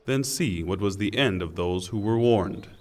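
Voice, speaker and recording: A man reading a scripture translation in a solemn, measured and deliberate way. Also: lowish voice. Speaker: translation reader. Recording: long hall reverb.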